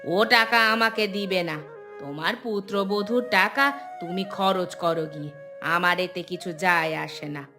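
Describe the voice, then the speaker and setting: A woman acts as a spiteful old village grandmother in a cartoon tale. She scolds in a low, slow voice with a hard bright edge, over music. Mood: bitter. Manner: scolding, complaining. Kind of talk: Bengali animated story dialogue.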